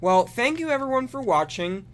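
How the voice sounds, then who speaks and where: A man hosting his own gaming channel, who talks hesitantly in a casual chat and often trails off softly. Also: very high-pitched, big pitch swings, moderate pace. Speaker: channel host.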